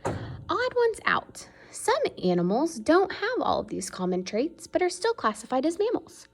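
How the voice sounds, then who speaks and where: Woman reading aloud, slightly creaky voice. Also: very high voice, wildly swooping pitch, measured pace. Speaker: reader reading a children's science book aloud. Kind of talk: read-aloud educational narration.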